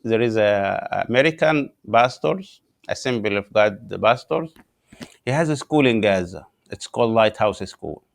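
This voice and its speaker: A pastor from Upper Egypt talks in English with an Egyptian accent. His voice sits low and gravelly. He pauses often with "uh" as he hunts for words in a language that is not his first. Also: lively intonation. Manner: halting non-native English with many "uh" pauses. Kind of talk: interview guest telling his story.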